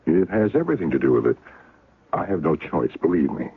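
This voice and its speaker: A polite, evasive older spymaster in an old radio mystery, with a very deep, husky voice that still swings widely in pitch. He hums and hesitates as he charms, and the sound is thin and phone-like. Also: big pitch swings, full character voice. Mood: persuasive. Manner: courteous, unhurried, hums and hesitates with uh. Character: genial older male spymaster, smooth and evasive. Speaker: head of an intelligence operation. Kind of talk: 1970s radio drama acting. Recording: tinny, lo-fi old radio broadcast.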